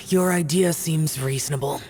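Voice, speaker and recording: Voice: mid-range voice, measured pace, music in the background, lightly acted. A performer playing a stoic, brooding, youthful male immortal warrior in a video game, terse and solemn.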